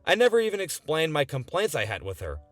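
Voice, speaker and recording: Young male video essayist, highish voice with very lively intonation, earnest and conversational, music underneath. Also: fast, dry recording. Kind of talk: scripted video essay narration. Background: background music.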